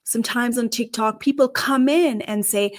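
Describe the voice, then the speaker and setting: A woman with a Nepali accent speaks at a slightly raised pitch, earnest and questioning as she talks straight to her audience. She is middle-aged, grew up in Nepal, and hosts a career talk show on her own. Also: moderate pace. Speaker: career strategist and show host. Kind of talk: solo talk to camera.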